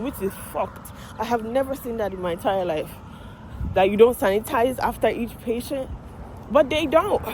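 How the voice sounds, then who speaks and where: Outspoken Nigerian woman vlogging on the street. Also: medium pitch. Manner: animated, outspoken, swears freely. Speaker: vlogger, medical assistant. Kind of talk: casual vlog chat while walking. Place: outdoors on a residential street. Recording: street with passing traffic noise. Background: cars and street ambience.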